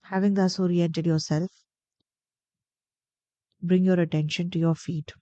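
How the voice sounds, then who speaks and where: A woman guiding a meditation in a gentle, deliberate, soothing way, pausing between phrases. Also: lowish voice, flat delivery, no echo, muffled recording. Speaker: meditation guide. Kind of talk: guided meditation narration.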